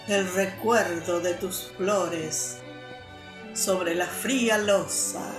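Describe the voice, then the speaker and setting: Woman reading a poem super slow and solemn, violin playing behind, echoey room. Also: mid-range voice. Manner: measured, solemn reciting. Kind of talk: slow poetry recitation over music. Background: violin music.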